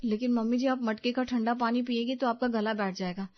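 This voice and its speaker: High-voiced anxious young daughter-in-law played by a woman. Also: steady tone, fairly quick, highs cut off, natural voice. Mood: fussing. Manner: fretful, insistent, solicitous. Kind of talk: Hindi animated family moral story.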